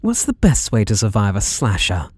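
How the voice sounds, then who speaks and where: A British man playing a possessive, flirtatious masked slasher in an intimate ASMR roleplay, teasing and softly menacing. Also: on the low side, huge pitch swings, slightly rough, no echo, exaggerated voice. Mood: gloating, playful threat. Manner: teasing, softly menacing, drawn-out delivery with sudden swoops.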